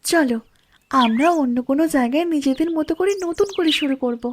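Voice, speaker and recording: A woman playing a reassuring young village wife in a cartoon, with a gentle, encouraging manner. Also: high voice, a little fast, a little hiss or hum, close to an everyday voice.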